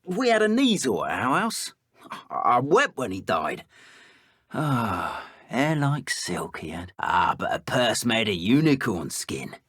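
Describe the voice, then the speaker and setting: Husky British male villain, dark wizard poacher, game enemy, sneering, callous, wildly animated intonation. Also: medium pitch, slow, no echo, studio quality, exaggerated voice. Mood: boastful, sly. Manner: sneering, sardonic, boastful. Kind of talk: video game enemy voice lines.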